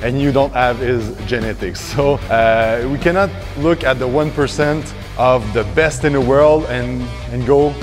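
A man's voice: mid-range voice, a little slow, hardly any pauses, faint music behind. Man coaching a workout in a gym. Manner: explains step by step with frequent fillers and restarts. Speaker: fitness coach. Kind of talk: instructional workout explanation. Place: large indoor gym.

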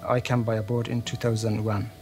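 A man's voice: fairly low pitch, little pitch movement, normal speed, a little mellow. Man from Afghanistan, thoughtful interview. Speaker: interviewee, refugee. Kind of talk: documentary interview. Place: studio interview set, indoors.

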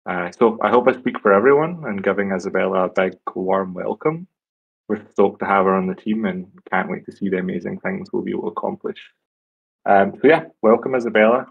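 A man's voice: fairly low pitch, fairly quick, creaky, slightly rough. A man hosting a group call, casually introducing a team member. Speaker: host. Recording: dull, phone-like online call audio.

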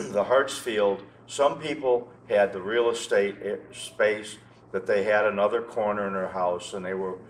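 An older American man in his sixties reminiscing conversationally as an interview guest, halting and often repeating words. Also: fairly low pitch, steady tone. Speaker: interview guest, loudspeaker maker. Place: indoor show room, seated. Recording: noticeable background noise, room ambience.

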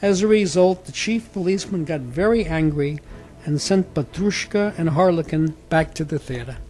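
A man's voice: a little high, normal speed, a little mellow, faint music behind. A man reading a scripted fairy tale as a storyteller.

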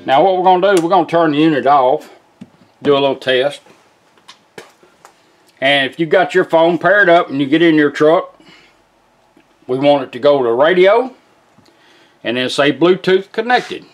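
A man's voice: a little high, normal speed, pauses often. A middle-aged man gives an informal, plain-spoken product demonstration, explaining each step in turn. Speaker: vintage car radio technician demonstrating a product. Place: small indoor workshop at a bench.

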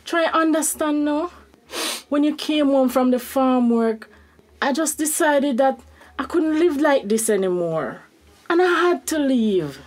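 A woman's voice: high-pitched, expressive, a little slow. A woman playing a regretful wife in a radio soap, pleading earnestly for forgiveness.

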